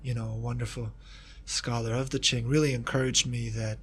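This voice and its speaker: A man from Kentucky, a historian of East Asia, talking about his research in a relaxed interview, with many asides and restarts. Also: mid-range voice, a little fast. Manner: thoughtful, rambling with asides and restarts. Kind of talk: casual reflective interview talk.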